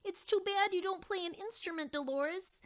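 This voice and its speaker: A woman's voice: very high-pitched, normal speed, pitched above the performer's own voice. A woman reading aloud and voicing a cheerful young male mouse in a storybook. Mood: chipper.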